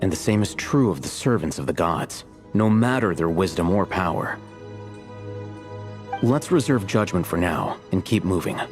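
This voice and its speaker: A man voicing a calm, reserved male scholar in a game, composed and measured. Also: sits low, faint music behind.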